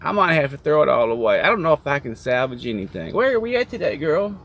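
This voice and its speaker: A man's voice: fairly high pitch, very animated, fast talker, fairly bright tone, muffled recording. A man chatting casually as a trucking vlogger, rambling and joking as he tells stories from a truck cab. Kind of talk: casual chat.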